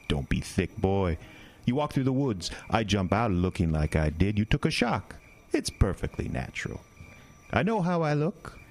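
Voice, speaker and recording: A sly sinister stranger, very deep. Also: male voice, sing-song, measured pace. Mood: sly menace. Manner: teasing, sly, old-fashioned phrasing. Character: sinister stranger man in the night woods. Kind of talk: horror audio drama.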